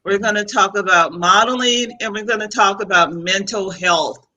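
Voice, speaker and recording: A woman's voice: medium pitch, normal speed, sharp tone, rough recording. A woman hosting a talk show panel in a chatty, casual way over a video call, often given to laughing. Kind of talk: casual chat moderating a panel.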